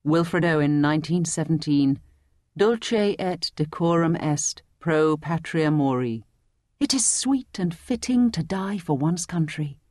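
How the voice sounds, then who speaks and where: A composed guiding woman in a dramatised lesson. Her voice sits a little low and moves expressively as she questions and explains in a measured way, with a close, dry sound. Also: lively intonation, darkish tone, dry recording. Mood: instructive. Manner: measured, teacherly. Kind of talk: dramatised educational audio drama.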